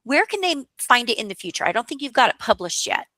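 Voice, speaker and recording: A woman's voice: fairly high pitch, big pitch swings, fast, pushed and bright, dry recording. A woman hosting a podcast, asking guests questions and summing up their points. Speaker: podcast host.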